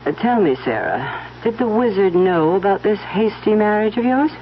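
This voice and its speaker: A woman's voice: mid-range voice, animated, normal speed, close to an everyday voice. An actress playing a genteel older mother who questions people with cool, pointed formality in a radio drama. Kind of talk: 1970s radio drama acting. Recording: dull lo-fi old radio broadcast, faint noise.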